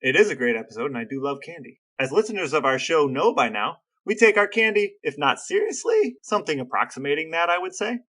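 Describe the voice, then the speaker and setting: A man's voice: on the high side, big pitch swings, fast talker, few pauses, sharp tone. A man co-hosting a casual podcast with his brother, wry and mock-formal in tone. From American Midwest. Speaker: podcast co-host. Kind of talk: casual chat between brothers.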